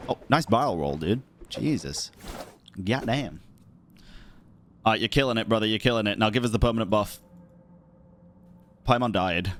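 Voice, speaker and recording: Animated young man commenting on a game. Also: fairly low pitch, moderate pace, pauses often, a little hiss or hum. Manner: slangy, swearing, reacting out loud. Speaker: game streamer. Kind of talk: casual playthrough commentary.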